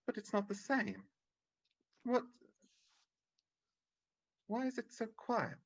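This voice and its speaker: Man doing scared old miser, repentant, tense bright voice, slowish, old radio drama over a muffled video call. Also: high-pitched, full character voice. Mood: uneasy. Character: frightened, penitent old miser, pleading with a spirit. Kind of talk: vintage radio drama acting. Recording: dull video-call audio.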